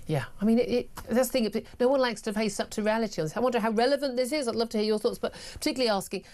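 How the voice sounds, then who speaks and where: A woman's voice: fairly high pitch, very fast, a little mellow. Emphatic woman hosting talk radio. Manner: emphatic, indignant, many restarts. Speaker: radio talk show host. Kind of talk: opinionated talk radio discussion.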